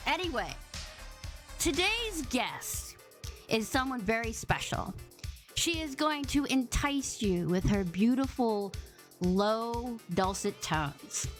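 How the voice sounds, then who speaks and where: A woman hosting a casual live-streamed podcast, bubbly and animated with a big, boomy voice. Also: takes it slowly. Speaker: podcast host. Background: faint background music.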